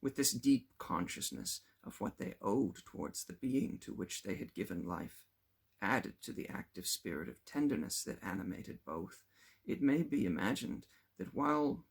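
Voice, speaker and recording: A man narrates a classic novel in a deep voice full of gravelly fry. His tone is husky and rough around the edges. He reads in a measured literary manner, with lively rises and falls in pitch. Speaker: audiobook reader.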